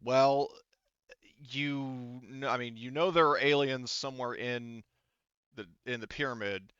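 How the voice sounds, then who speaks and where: A man running a tabletop game as game master, narrating casually in a halting, rambling way full of filler phrases. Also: medium pitch, steady tone, fairly bright tone, smooth, no room sound, highs cut off. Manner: halting, rambling, frequent "like" and "you know"; casual swearing.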